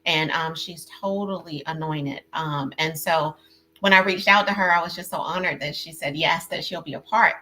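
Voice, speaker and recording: A woman's voice: sits low, moderate pace, pushed and bright, poor audio. A woman hosting a live online panel over a video call, effusive and chatty, with lots of fillers and repeats. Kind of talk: casual live-stream hosting.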